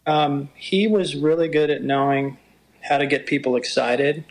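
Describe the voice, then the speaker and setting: A middle-aged man with a low voice, talking through stories in an interview and sometimes quoting others in a livelier tone. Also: steady tone, low-quality recording. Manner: unhurried, storytelling, quotes others in a livelier voice. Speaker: motocross broadcaster. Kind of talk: reflective interview talk.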